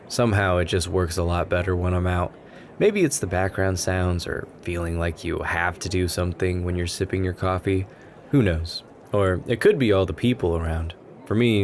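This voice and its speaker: A man plays a bashful, kind-hearted writer making nervous small talk in an ASMR roleplay. His voice is low and soft, with an earnest, rambling delivery. Noticeable coffee shop ambience sits behind him. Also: creaky, slight background noise. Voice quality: gentle, soft-spoken. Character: shy, sweet, nervous writer chatting up a café stranger.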